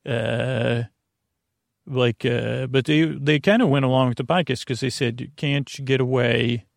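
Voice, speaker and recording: A man hosting a bedtime podcast in a halting, meandering monologue that circles back on itself, with a gravelly voice. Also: mid-range voice, steady tone, dry recording, studio quality. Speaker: podcast host.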